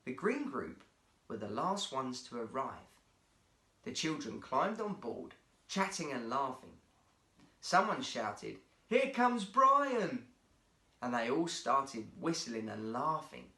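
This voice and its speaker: A man's voice: mid-range voice, fairly bright tone. A man narrating a children's story expressively as a guest storyteller, recorded in a roomy, echoey space. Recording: roomy, echoey indoor sound.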